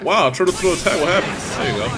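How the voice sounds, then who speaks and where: A man's voice: sits high, animated, quick pace. A young man of Dominican background giving casual, profane, trash-talking commentary over his own online match. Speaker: gaming YouTuber. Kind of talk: live gameplay commentary. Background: game music.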